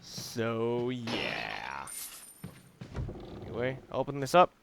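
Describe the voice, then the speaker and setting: A man giving casual, explanatory let's play commentary, halting and rephrasing as he plays. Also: mid-range voice, wildly swooping pitch, creaky, noisy background. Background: video game audio and music.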